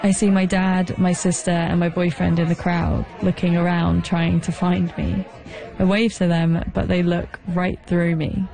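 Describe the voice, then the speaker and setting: A young adult woman narrating a personal documentary story in a dry, wry, deadpan way. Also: lowish voice, a little slow, vocal fry, soft background music, some chatter behind.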